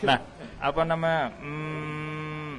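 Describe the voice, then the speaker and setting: A man's voice: a little high, level tone, drawn-out words, fairly bright tone. A man hosting a casual radio music interview in a chatty, informal, slangy way.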